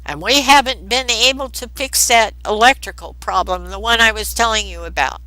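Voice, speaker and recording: A woman's voice: fairly high pitch, moderate pace, bright, forceful tone, dry recording, pitched above the performer's own voice, rough recording. A woman voicing an accommodating, mildly worried male technician in an audiobook, speaking plainly and obligingly. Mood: concerned.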